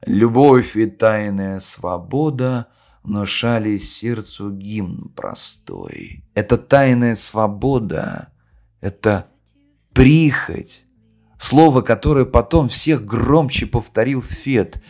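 A man playing a solemn poet who delivers a memorial speech in a measured, declamatory way with deliberate pauses. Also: fairly low pitch, big pitch swings, vocal fry, darkish tone, full character voice. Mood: solemn, argumentative. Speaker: reader of a poet's speech in an audio play. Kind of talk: literary oration, declaimed reading.

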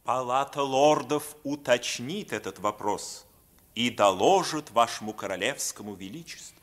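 An actor playing a pompous, officious and fawning court lord in an old radio drama. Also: male voice, mid-range voice, unhurried, close to an everyday voice. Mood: officious, ceremonious. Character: pompous, obsequious male royal courtier lord. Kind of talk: Soviet 1950s radio play acting.

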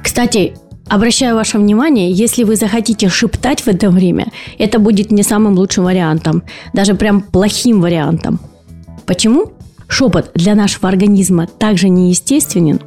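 A woman's voice: mid-range voice, normal speed, dark tone, faint music behind. A woman speech and voice therapist hosting an educational podcast, explaining patiently and posing rhetorical questions to her listeners.

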